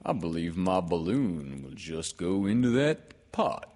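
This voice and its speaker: A man voicing a mournful old male donkey from a storybook, low-key and glum, drawing out his words. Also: very animated, measured pace, darkish tone, in a large hall. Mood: quietly pleased.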